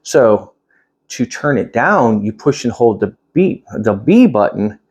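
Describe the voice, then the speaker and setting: Guy doing a casual gadget review at home, average pitch, kinda rambly, thinks out loud, plain talk. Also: big pitch swings, takes it slowly, husky. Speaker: product reviewer. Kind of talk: casual hands-on product demonstration. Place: indoors at home.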